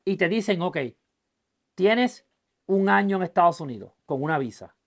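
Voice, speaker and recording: A man's voice: high voice, expressive, moderate pace. A man hosting a podcast, talking casually about politics with a guest, speaking emphatically and acting out quoted dialogue in his stories. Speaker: podcast host.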